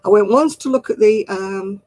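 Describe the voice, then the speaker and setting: Older woman, Oldham-Manchester accent, husky voice, homely rambling live chat. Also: mid-range voice, normal speed, darkish tone, no echo. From Royton, Oldham, England. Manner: rambling, homely, chuckles often. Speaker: livestream host. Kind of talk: casual chat answering live comments.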